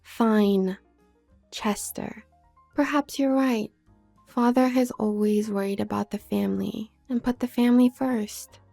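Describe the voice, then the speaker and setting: A woman playing a haughty, manipulative younger werewolf sister from a noble family in an audio drama, speaking with condescending, needling smoothness. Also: fairly high pitch, fairly even intonation, unhurried, warm tone, soft background music. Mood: cool, mocking. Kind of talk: scripted audio roleplay drama.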